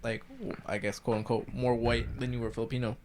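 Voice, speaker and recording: A young man rattles along at a quick clip in a relaxed podcast conversation. His pitch sits in an ordinary middle range. He rambles, stacks up "like"s and restarts, and keeps throwing questions to the others. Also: slight background noise. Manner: rambling, filler-heavy, asks lots of questions. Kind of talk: casual chat.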